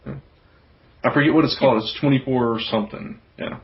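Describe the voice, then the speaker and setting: A man's voice: medium pitch, steady tone, quick pace. A man hosting a podcast, talking informally in a casual group chat. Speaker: podcast host. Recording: dull, lo-fi podcast audio.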